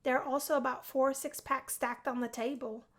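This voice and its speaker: A high-pitched woman narrates a visual novel aloud. She reads expressively, in the manner of a video game streamer who also acts out the characters. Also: moderate pace. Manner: expressive story reading with acted character voices.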